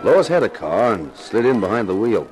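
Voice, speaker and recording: An actor playing a wry, flirtatious American sleuth with a dry wisecracking manner, narrating and talking in a 1950s radio detective drama. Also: male voice, on the low side, big pitch swings, moderate pace, music in the background, poor audio.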